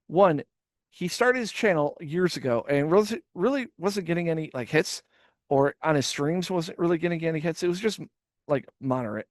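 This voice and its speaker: A man's voice: fairly high pitch, animated, normal speed, no echo. Guy host rambling and swearing, lots of restarts, dry close mic. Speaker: podcast host. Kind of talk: casual reaction chat.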